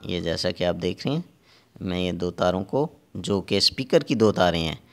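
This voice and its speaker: A man narrating a hands-on repair tutorial step by step, halting and repeating himself as he works. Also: sits low, animated. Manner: halting and repetitive, pausing while working. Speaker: repair technician giving a tutorial.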